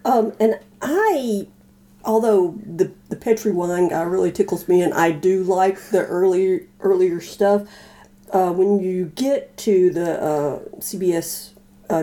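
Female podcast co-host, extremely mellow and somewhat low, thoughtful and halting in casual conversation. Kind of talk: casual discussion of old radio dramas.

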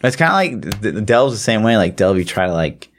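A man's voice: fairly low pitch, big pitch swings, fairly quick, slight background noise. A man co-hosting a podcast, explaining things in a rambling, slangy, casual way with some swearing. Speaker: podcast co-host. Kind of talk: casual gaming chat.

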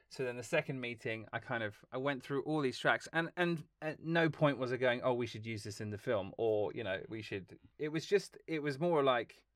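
A man talking as a film composer guest in a casual interview, telling long, lively stories and laughing along the way. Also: mid-range voice, dry recording, clean studio sound. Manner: animated, rambling storytelling with laughs.

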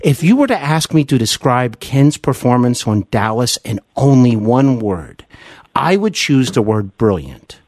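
A man's voice: medium pitch, big pitch swings, unhurried, darkish tone, no echo. A man hosting a podcast interview, asking earnest, effusive questions in a hesitant, roundabout way. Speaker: podcast host interviewing a guest.

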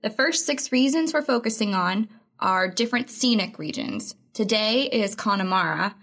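A woman's voice: mid-range voice, lively intonation, fairly bright tone. A woman co-hosting a casual podcast, talking in a playful, teasing way. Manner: playful teasing, quick laughs. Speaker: podcast co-host.

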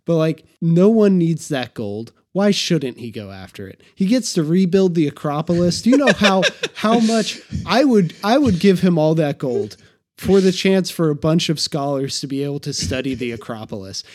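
A man's voice: on the high side, animated, moderate pace, darkish tone. A man co-hosting a casual film discussion, analytical and opinionated as he talks. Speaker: movie discussion co-host. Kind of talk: casual film review chat.

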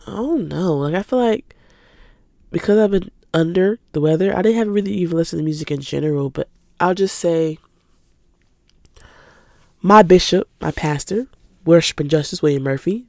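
A woman's voice: lowish voice, normal speed, pauses often, a little mellow, no echo, clean studio sound. An eighteen-year-old woman from Atlanta hosting her own podcast in casual, rambling chat, sounding stuffy from a cold. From Atlanta, Georgia. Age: teens. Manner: chatty, rambling, laughs easily. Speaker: podcast host.

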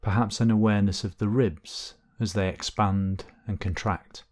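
A man leading a guided body scan meditation, giving gentle, unhurried instructions with long pauses between phrases. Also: on the low side, fairly even intonation.

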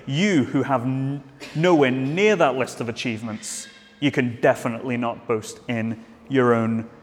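A man's voice: medium pitch, long reverb tail. A man preaching a sermon in a church, earnest, with frequent restarts and fillers.

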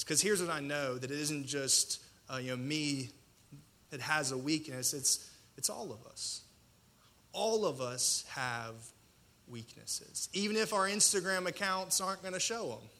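A man in his thirties preaching a personal testimony in a colloquial, self-deprecating way, with dramatic pauses and a slight stutter. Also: fairly high pitch, animated, sharp tone. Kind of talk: personal testimony sermon to an audience.

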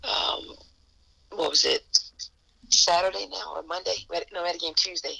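A hoarse woman, an assistant basketball coach, answering interview questions earnestly and repeating phrases for emphasis. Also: fairly low pitch, moderate pace, bright, forceful tone, poor audio.

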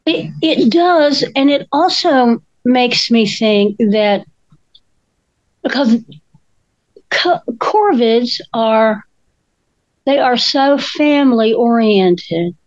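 Slow, reflective woman in her sixties. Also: fairly high pitch, lively intonation, dry recording, dull audio. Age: 60s. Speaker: co-host. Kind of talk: casual chat.

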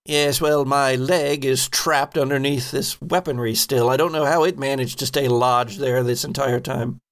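A man narrating a tabletop role-playing game as game master, in a measured, halting way as he thinks aloud. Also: mid-range voice, fairly even intonation, fairly bright tone.

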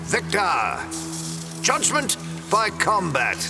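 Man acting a high-pitched pompous villain over loud music. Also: wildly swooping pitch, slow pace, vocal fry, exaggerated voice. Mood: grandly menacing. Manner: pompous, formal, menacing. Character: vicious, theatrical, sword-loving villainous collector, adult man.

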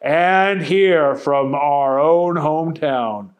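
A man voicing a loud, grinning male game show host with a booming showman delivery. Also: drawn-out words, dull audio. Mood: showy.

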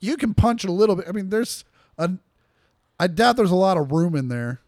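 A man's voice: sits high, sing-song, moderate pace, darkish tone, dry recording, clean studio sound. A man co-hosting a casual comedy podcast, full of crude, playful banter. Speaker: podcast co-host.

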